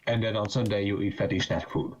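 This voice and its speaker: A man's voice: lowish voice, fast talker, muffled recording, a little hiss or hum. A young man from the Netherlands with a Dutch accent, talking casually as a guest with many fillers and restarts. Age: young adult. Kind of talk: casual chat.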